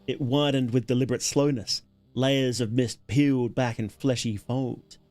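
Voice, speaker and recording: A man narrating a horror story as a livestreamer, dramatic and solemn, in deliberate, weighted phrases. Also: medium pitch, steady tone, darkish tone, no room sound, faint music behind.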